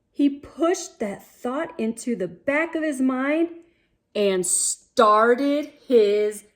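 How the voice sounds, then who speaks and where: A woman reads a story aloud for young children in a fairly high voice. She goes extremely slowly, leaving dramatic pauses between phrases. Her delivery is playful and theatrical, with key words strongly emphasized. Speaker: children's story reader, host.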